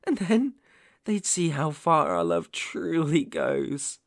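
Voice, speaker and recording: An obsessive young boyfriend, acted by a man for an ASMR roleplay, sugary and menacing at once. He speaks slowly and close to the mic, his pitch swooping theatrically between coaxing and intense, with a gravelly fry in the voice. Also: huge pitch swings, no room sound, exaggerated voice. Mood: obsessive adoration. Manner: theatrical, slow, coaxing with sudden intense swells. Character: possessive yandere boyfriend, menacing yet sugary, unhinged. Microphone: close studio microphone.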